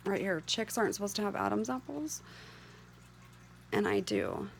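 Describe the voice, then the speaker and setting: A middle-aged woman with a hoarse voice, giving earnest, candid personal testimony to camera as a patient advocate. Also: medium pitch, huge pitch swings, normal speed, a little hiss or hum. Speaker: patient advocate sharing her medical story.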